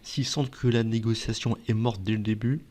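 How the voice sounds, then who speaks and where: A man with a fairly deep voice talks directly to his viewers as a presenter. He explains and advises in a conversational way, posing questions and then answering them himself. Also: steady tone, brisk, mellow. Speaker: video presenter giving advice. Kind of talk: explainer talk to viewers, semi-scripted.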